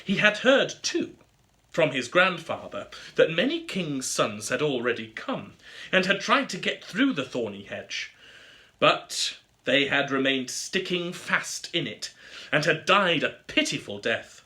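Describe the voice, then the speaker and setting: Casting: male storybook narrator, higher register, very bright and forward tone, theatrical and expressive. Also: unhurried. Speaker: storybook reader. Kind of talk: scripted fairy tale narration.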